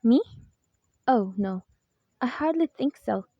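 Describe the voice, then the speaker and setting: A woman's voice: fairly high pitch, expressive, slow, slightly rough, dry recording, lo-fi sound, full character voice. A woman playing an anxious, imperious, bedridden wealthy wife in a radio play, speaking in a fretful, insistent way. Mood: worried.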